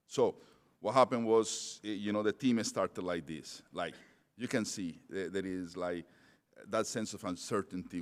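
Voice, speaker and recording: Middle-aged male speaker with a non-native accent: deep, halting conference talk, in a reverberant hall. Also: clear, resonant tone. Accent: non-native English. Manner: hesitant, many fillers and restarts. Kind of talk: conference presentation.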